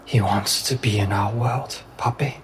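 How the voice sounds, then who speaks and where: A man voicing a weary, sorrowful fox spirit in a tabletop roleplay, with grave and deliberate phrasing. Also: on the low side, a little hiss or hum, natural voice. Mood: grave, resigned. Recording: music behind the voice.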